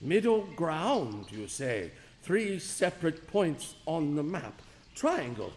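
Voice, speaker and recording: An eccentric inventor speaks in a high voice that swoops wildly. He is theatrical, wordy and easily flustered, as in a comic radio play. The sound rings as if in a large hall. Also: very animated, slow pace, a little mellow, heavily acted. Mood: triumphant. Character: excitable eccentric male scientist and time-machine inventor. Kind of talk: comic radio drama acting. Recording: reverberant hall, faint background noise.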